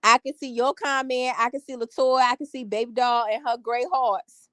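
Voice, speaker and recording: A woman's voice: a little high, steady tone, moderate pace, fairly bright tone, no room sound, clean studio sound. A woman, telling a story to live viewers in a strong, confident voice, emphatic and sassy, repeating phrases for effect. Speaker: vlogger talking to live viewers. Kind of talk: casual storytelling chat.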